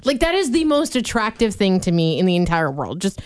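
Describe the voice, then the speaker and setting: A woman's voice: medium pitch, sing-song, brisk, a little mellow, no room sound, studio quality. A young woman co-hosting a radio show, chatting casually and gushing with easy laughs. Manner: bubbly, gushing, laughs easily. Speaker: radio co-host. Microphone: studio mic.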